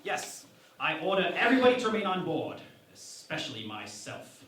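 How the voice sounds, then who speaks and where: A man's voice: a little high, sing-song, a bit bright. A man as a composed starship captain, heavy echo. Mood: sheepish. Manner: measured, formal, mock-dignified. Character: composed, pompous, roguish male starship captain. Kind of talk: parody sci-fi radio play acting. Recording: very echoey, distant mic, music behind.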